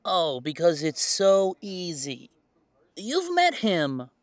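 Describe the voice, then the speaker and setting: A man's voice: high-pitched, wildly swooping pitch, slow, dry recording, heavily acted. A man playing an anxious, good-natured character who is easily intimidated, speaking hesitantly and with exasperation in an audio drama. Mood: defensive, flustered. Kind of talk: audio roleplay drama acting.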